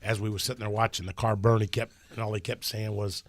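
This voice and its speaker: A man with a deep voice, a racetrack promoter talking in casual, plainspoken radio chat with folksy grammar. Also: level tone, fast.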